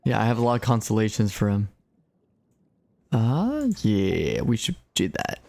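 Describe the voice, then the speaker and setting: A man's voice: fairly low pitch, very animated, unhurried, vocal fry, darkish tone. A man doing casual, laid-back gameplay commentary on a livestream, chatting as he plays. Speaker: game livestreamer.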